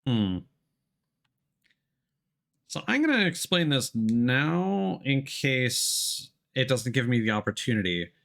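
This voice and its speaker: A man's voice: mid-range voice, very animated, takes it slowly, vocal fry, pauses often, fairly bright tone. A man giving live let's play commentary as a streamer, reading game text aloud and adding hesitant explanatory asides.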